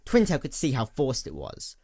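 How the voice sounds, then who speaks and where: A man's voice: medium pitch, huge pitch swings, normal speed, mellow, no echo, studio quality. A man reading scripted fiction as a dramatic story narrator. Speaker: audiobook narrator.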